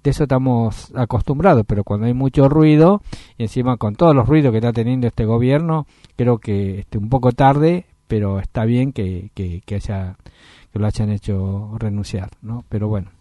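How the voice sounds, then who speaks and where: Man, Argentine accent, mellow voice, dry sound, halting, repeats words, talk-show co-host. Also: medium pitch, no room sound. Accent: Argentine Spanish. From Tucumán, Argentina. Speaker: co-host, local politician. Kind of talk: casual political talk.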